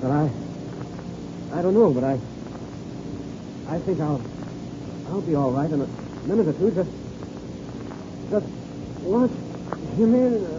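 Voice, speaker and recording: An actor playing an excitable, urgent airplane pilot in a 1930s radio adventure serial. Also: male voice, a little high, a little slow, long pauses. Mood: faint, failing. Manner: urgent, exclamatory. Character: excitable adult male airplane pilot, alarmed, loyal. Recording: muffled, noisy old radio recording.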